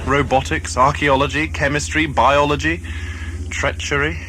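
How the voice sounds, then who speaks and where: A man's voice: a little high, level tone, normal speed, bright, forceful tone, slight background noise, low-quality recording. A man playing a boastful, treacherous expert in an audio drama, self-satisfied and wry. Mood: smug.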